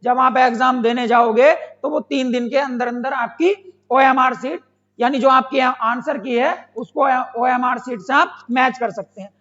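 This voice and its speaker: Very high-voiced man teaching, lo-fi sound. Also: fairly even intonation, unhurried, fairly bright tone. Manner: repeats phrases, asks and answers own questions. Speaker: exam coaching teacher. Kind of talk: instructional explanation to students. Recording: dull lo-fi sound.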